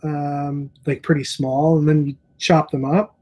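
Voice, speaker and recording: A man's voice: on the high side, flat delivery, a little slow, darkish tone, muffled recording. A man, co-hosting a casual video show over a call from a small room on an earphone mic. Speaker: show co-host at a comic shop. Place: small room at home, indoors. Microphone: wired earphones on a video call.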